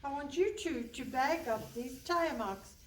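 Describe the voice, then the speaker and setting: Radio comedy role: bossy older English female detective played by an actress, brisk and dry, high voice, distant stage mic. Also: lively intonation. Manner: brisk, dry, condescending, calling people pet and love. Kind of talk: comic radio play before a live audience. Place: hall stage with a live audience. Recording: distant stage pickup with some noise.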